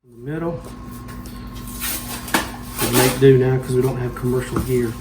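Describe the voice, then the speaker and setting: A man gives relaxed, folksy cooking instructions in a mid-range voice. He sounds like a home cooking host. A clear layer of background noise sits behind his voice. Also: mid-range voice, moderate pace.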